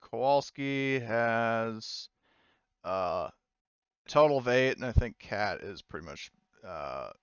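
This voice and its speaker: A man casually narrating a tabletop roleplaying game as game master, improvising and thinking aloud in a halting way. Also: mid-range voice, lively intonation, clear, resonant tone, no echo, lo-fi sound.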